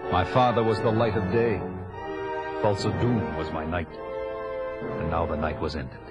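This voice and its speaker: A solemn barbarian warrior over music. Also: male voice, sits low, fairly even intonation, a little slow. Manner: solemn, declamatory. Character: vengeful, battle-hardened male barbarian warrior, grim and proud. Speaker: narrator and lead actor. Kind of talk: dramatic first-person audio drama narration. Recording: loud music behind the voice.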